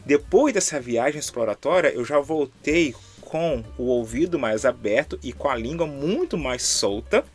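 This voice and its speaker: Brazilian man, Brazilian Portuguese accent, mid-pitched voice, earnest encouraging co-host, faint music behind. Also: lively intonation, moderate pace, hardly any pauses, husky. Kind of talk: casual chat.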